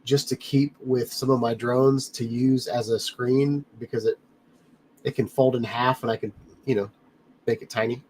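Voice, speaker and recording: A man hosts a relaxed live stream from his home studio, wandering from topic to topic and calling out viewers by name. His voice sits in an ordinary middle pitch and sounds a bit congested, as if his sinuses are blocked. A faint room ambience hangs in the background. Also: moderate pace, slight background noise, low-quality recording. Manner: rambling, friendly, addresses viewers by name. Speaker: livestream host reading chat. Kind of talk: casual live chat.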